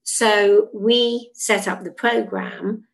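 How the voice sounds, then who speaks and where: Woman with a gravelly edge. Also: mid-range voice, fairly even intonation, drawn-out words, low-quality recording. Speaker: youth program leader. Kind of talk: explanatory interview answer.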